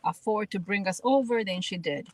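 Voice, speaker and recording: A woman with a Dominican Spanish accent talks in a radio interview, her pitch sitting in an ordinary middle range. She speaks earnestly and thoughtfully, circling back over her words as she searches for the right phrasing. The sound is dry and close, with no room echo. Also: normal speed. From Dominican Republic. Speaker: guest actress and spoken word artist.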